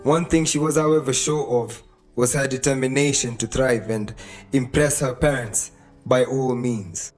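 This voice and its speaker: A man's voice: mid-range voice, steady tone, a little slow, a little mellow. A man with a Kenyan accent reading scripted radio drama narration, with music behind him. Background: background music.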